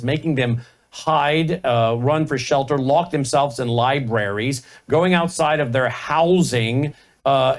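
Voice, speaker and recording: A man hosting a news talk show, introducing guests and speaking in a direct, emphatic way. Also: medium pitch.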